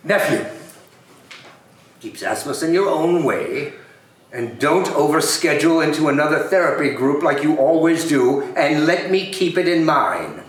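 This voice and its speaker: A man playing a grumpy, scornful old miser uncle in an amateur dramatic read, with gruff, profane exasperation. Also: a little high, expressive, a little slow. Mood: bitter, exasperated. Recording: very echoey room, distant, faint noise.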